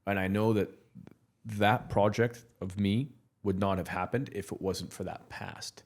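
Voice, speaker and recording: A man in his thirties from Morocco, speaking as a podcast guest in a low voice. He talks candidly and bluntly, restarting his sentences and leaning on fillers as he goes. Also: steady tone, a little slow, a little mellow. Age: 30s. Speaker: guest entrepreneur. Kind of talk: casual podcast interview.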